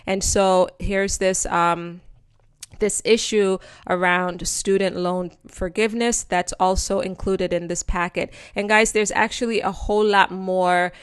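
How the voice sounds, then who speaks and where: A woman's voice: mid-range voice, fairly even intonation, a little slow, clean tone, no room sound, studio quality. A woman with a Jamaican accent hosting a casual explainer over slides, chatting to viewers as friends with plenty of ums. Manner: chatty and informal, addresses viewers as friends and guys, many ums. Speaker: host of a channel explaining news to viewers.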